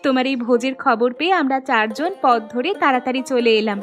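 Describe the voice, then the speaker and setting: High-pitched, caring young wife for a family cartoon, acted by a woman; deliberate storybook delivery, music underneath. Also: natural voice. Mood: eager, affectionate. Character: dutiful young married daughters, cheerful and caring. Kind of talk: Bengali animated family story dialogue.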